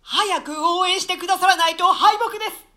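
A woman's voice: very high-pitched, a little fast, sharp tone. A woman playing an anxious, loyal male retainer in a classical play, urgent and pleading. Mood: urgent, alarmed. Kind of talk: classical stage-play reading in archaic Japanese.

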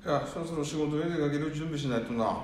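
A man's voice: a little high, fast talker, lightly acted. A man playing an earnest, ordinary working son in an amateur radio drama. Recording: very echoey room, faint noise.

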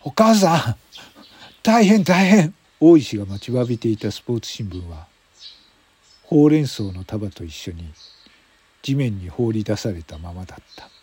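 男性がラジオドラマの台本を、間を取りながら語るナレーション。. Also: male voice, on the low side, lively intonation, moderate pace, warm tone, slightly rough. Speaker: narrator. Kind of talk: scripted radio drama narration.

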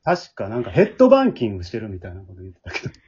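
Male podcast host, Kansai accent, lively swooping intonation, quick delivery, slightly muffled recording. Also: on the low side, slightly rough. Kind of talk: casual chat hosting.

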